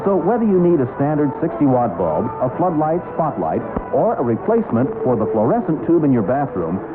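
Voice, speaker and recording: A man's voice: mid-range voice, very animated, a little fast. An American man reading a scripted retail commercial as a radio announcer. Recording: tinny 1970s radio, loud music. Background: loud music bed.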